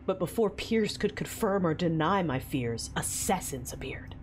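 A woman's voice: fairly low pitch, expressive, moderate pace, close to an everyday voice. A woman playing a grave, commanding and worried mother who leads a starship, narrating in an audio drama. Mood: grave, anxious. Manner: grave first-person narrating.

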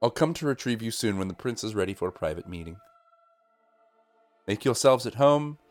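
A man's voice: lowish voice, animated, a little fast, a little mellow, soft background music, natural voice. A man voicing a fussy, nervous male palace steward in a fantasy court, sounding flustered and eager to please. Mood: formal.

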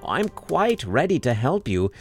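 Man voicing an earnest, high-pitched young boy. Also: very animated, measured pace, slightly rough, faint music behind, exaggerated voice. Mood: polite, pleading. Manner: eager, exclaiming, courteous. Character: earnest, brave, polite young boy hero.

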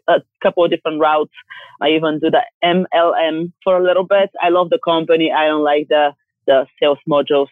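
A woman from Bulgaria with a Bulgarian accent, a yoga teacher and coach talking in a direct, forceful way and laughing often. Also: low voice, no room sound. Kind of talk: casual interview talk. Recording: tinny phone line.

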